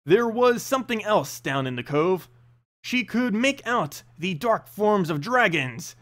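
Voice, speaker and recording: High-pitched, animated male storyteller. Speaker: reader of fantasy stories, channel host. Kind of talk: scripted story narration, read aloud.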